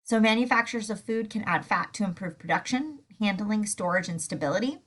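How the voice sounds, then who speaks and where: A woman's voice: medium pitch, brisk. Stuffy-nosed woman teaching a lecture. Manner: relaxed, with many fillers. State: stuffed up with a sore throat. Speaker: food science teacher. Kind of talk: recorded lecture over slides.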